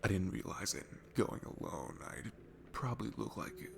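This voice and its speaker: Shy, sweet guy alone at a bar, played by a man for ASMR audio: heavy vocal fry, lively shifting pitch, hesitant, stammering and self-effacing. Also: moderate pace, noisy background. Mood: sheepish. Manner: hesitant, stammering, self-deprecating, breaking into hushed breathy asides. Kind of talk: intimate ASMR roleplay audio acting. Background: music.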